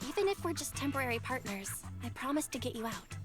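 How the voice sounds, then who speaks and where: An actress playing a bright, teasing, excitable young woman in an anime-style video game, expressive and helpful. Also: sits high, fast talker. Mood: earnest. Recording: game music behind.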